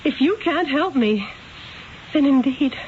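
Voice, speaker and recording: A troubled, pleading wife in an old-time radio drama. Her high voice is dramatic and insistent, coming through a thin, narrow, slightly hissy recording. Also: expressive, unhurried. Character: distressed, pleading, insistent wife in Renaissance Florence.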